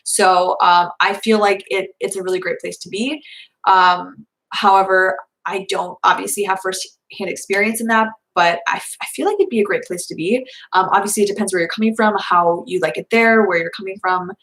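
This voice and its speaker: A young woman talks her way casually through viewer questions at home, her voice a little hoarse. She rambles and restarts, filling gaps with "um" and "like." Her pitch sits in a plain middle range. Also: fairly even intonation, normal speed, a bit bright, rough recording. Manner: chatty, rambling with many fillers. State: slightly hoarse. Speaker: vlogger answering viewer questions. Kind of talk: casual live Q&A chat.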